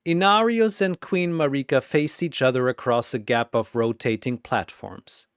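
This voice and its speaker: A man's voice: medium pitch, normal speed, clear, resonant tone, no echo, studio quality. A man narrating a scripted fantasy battle in a dramatic storytelling style.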